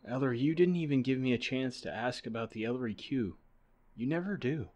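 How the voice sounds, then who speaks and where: A man playing a sympathetic young assistant in an old-time radio drama, soft-hearted and plaintive. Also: medium pitch, a little fast, close to an everyday voice. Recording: noisy amateur radio play recording.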